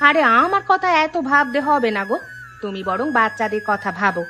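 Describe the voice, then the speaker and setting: A woman's voice: fairly high pitch, sing-song, a little fast, sharp tone. Consoling village housewife voiced by a woman, music behind. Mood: reassuring. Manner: consoling, homely. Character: patient, reassuring village housewife and mother. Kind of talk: Bengali cartoon dialogue dubbing.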